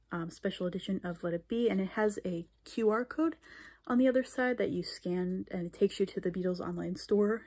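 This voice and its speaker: A woman's voice: fairly low pitch, a little mellow, husky. A young woman in her twenties chatting in a casual vlog recap, full of fillers and restarts, recorded on a handheld phone in a small bedroom. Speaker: vlogger. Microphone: phone camera held close.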